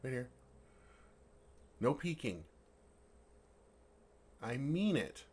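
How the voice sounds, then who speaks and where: A man's voice: mid-range voice, very animated, drawn-out words, breathy edge, exaggerated voice. A man playing a friendly, naive male dragon who talks earnestly and playfully to a human in an ASMR roleplay. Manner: earnest, playful, puzzled, soft chuckles. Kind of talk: ASMR roleplay, intimate one-sided dialogue.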